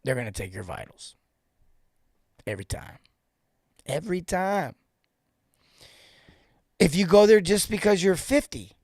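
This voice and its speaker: A man in his forties hosting a casual live-stream coaching talk, joking and rambling off into asides with informal, animated banter. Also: high voice, unhurried, pauses often, dry recording, studio quality. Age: 40s. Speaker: host and sales coach for plumbers.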